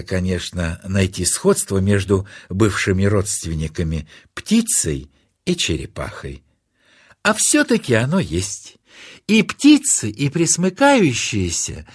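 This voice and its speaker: A man narrating a children's nature tale as an expressive storyteller, confiding and theatrical. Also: fairly high pitch, breathy edge.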